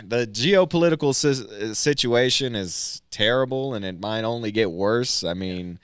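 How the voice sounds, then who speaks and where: Low, smooth-voiced laid-back comedian man. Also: normal speed, dry recording, clean studio sound. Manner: loose and rambling, many fillers and restarts. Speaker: stand-up comedian guest; military veteran. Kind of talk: casual podcast conversation.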